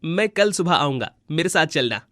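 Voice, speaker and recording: A man's voice: a little high, animated, normal speed, fairly bright tone, no room sound, studio quality. A man playing a helpful, sympathetic brother who explains things kindly in a devotional story.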